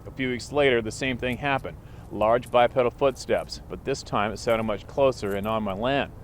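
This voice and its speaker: A man's voice: medium pitch, moderate pace, a little hiss or hum. A man hosting a channel, reading a listener's letter aloud as a plain story, recorded outdoors. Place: outdoors by the water.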